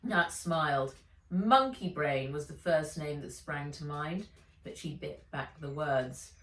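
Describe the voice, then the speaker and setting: A woman author reading a children's storybook aloud in a measured, expressive way. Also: sits low. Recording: echoey room, distant from mic.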